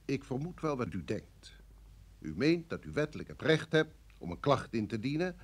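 A man's voice: medium pitch, very animated. A male security service official in a radio drama. He speaks in a formal, insistent way, coolly coercive. His mid-range voice moves widely up and down for emphasis. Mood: firm, menacing. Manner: formal, smoothly insistent, measured. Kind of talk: radio play acting.

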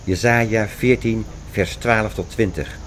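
A man's voice: lowish voice, steady tone, a little slow, slight background noise, close to an everyday voice. An actor playing a fervent man expounding apocalyptic theories in a radio play, insistent, didactic and declamatory. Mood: excited, insistent. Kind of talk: radio play dialogue, insistent lecturing and reciting.

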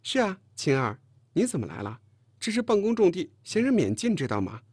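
一位男演播者在有声书里演一个爱捉弄人、又爱发号施令的年轻女同事，时而撒娇，时而数落。. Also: male voice, high voice, big pitch swings, husky, no echo, voice pushed up, full character voice. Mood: huffy, scolding. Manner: mocking, quick to pout and scold. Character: brash teasing young woman colleague, playful and bossy. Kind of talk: audiobook character dialogue.

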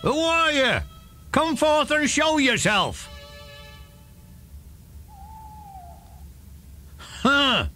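An actor playing a gruff but kindly country shepherd who scolds, in a children's audio drama. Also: male voice, very high-pitched, sing-song, slow pace, pushed and bright, faint music behind, full character voice. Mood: challenging.

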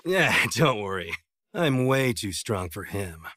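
A man's voice: on the low side, big pitch swings, slow pace, a bit bright, dry recording, clean studio sound, heavily acted. An American man playing a cocky, playful young sorcerer teacher in an English anime dub, with a breezy manner.

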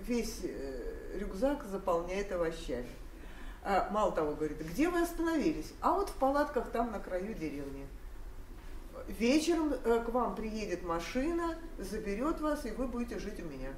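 A woman's voice: mid-range voice, darkish tone. An older woman telling a personal family story at a seminar, rambling with many hesitations and restarts. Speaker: seminar participant sharing memories. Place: seminar room, standing among seated audience. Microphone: far camera. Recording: echoey room, distant mic, noise.

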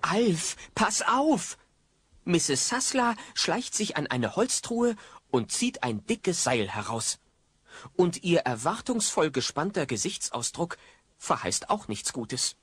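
A man's voice: fairly high pitch, very animated, slow, a bit bright, slightly rough, full character voice. A man narrating an audio play in a wry, suspenseful, dramatic storytelling style. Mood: excited.

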